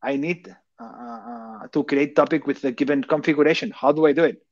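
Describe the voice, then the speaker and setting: A man in his thirties with a Catalan Spanish accent, speaking in a fairly high voice. He presents a technical topic in a halting way, full of fillers and questions to the audience. The sound is dull and dry, like a lo-fi call mic. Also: steady tone, darkish tone, no room sound. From Barcelona, Catalonia. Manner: hesitant, many fillers, asks rhetorical questions. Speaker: conference speaker.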